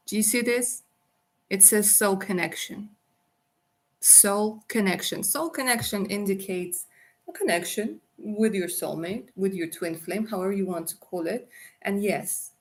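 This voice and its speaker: A woman doing a live tarot reading on a stream, chatty and affectionate, calling viewers darling. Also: medium pitch. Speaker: tarot reader.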